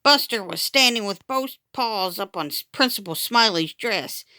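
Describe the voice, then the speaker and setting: A woman reading a children's story aloud in an animated, expressive way, acting out the dialogue as she goes. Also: medium pitch, normal speed, no room sound.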